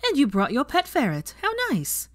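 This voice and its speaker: A woman's voice: fairly high pitch, wildly swooping pitch, moderate pace, fairly bright tone, pitched above the performer's own voice. A woman voicing a tired, teasing young mother in an audiobook, with a dry, sarcastic manner. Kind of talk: fan fiction audiobook character voice.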